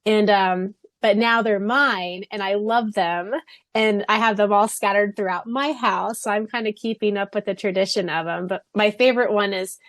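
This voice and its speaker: A woman's voice: mid-range voice, fairly even intonation, moderate pace, a bit bright, dry recording. A woman co-hosting a podcast, chatting casually in a giggly, folksy, informal way. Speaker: podcast co-host. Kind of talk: casual chat, anecdote telling.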